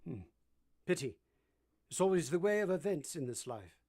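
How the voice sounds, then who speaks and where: Brooding master of the house, played by an actor: commanding, teasing, ardent. Formal, theatrical delivery for a period radio romance. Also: male voice, a little high, expressive, moderate pace, mellow. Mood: probing, teasing. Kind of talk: vintage radio drama acting.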